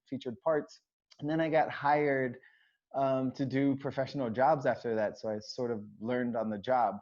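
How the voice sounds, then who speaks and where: A man's voice: mid-range voice, darkish tone. A man from the United States, raised in Hawaii, a choreographer and dancer chatting casually as an interview guest, rambling with many fillers and restarts. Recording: dull lo-fi sound.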